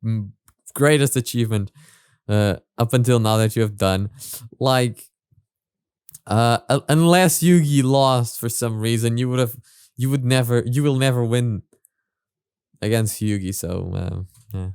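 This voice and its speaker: Male reaction host. Smooth medium voice, casual halting delivery with repeats and swearing, very dry sound. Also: mid-range voice, a little mellow, dry recording. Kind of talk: casual spoken commentary on a video.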